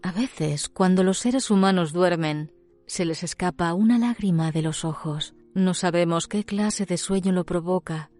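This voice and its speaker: A woman narrating a literary tale as a storyteller, in a measured, dramatic scripted delivery. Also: lowish voice, mellow, soft background music.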